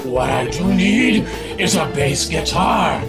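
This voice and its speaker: Creaky, drawling haughty pharaoh. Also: male voice, a little high, lively intonation, slow. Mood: imperious. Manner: curt, pompous. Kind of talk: sci-fi radio comedy acting. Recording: loud music behind voice.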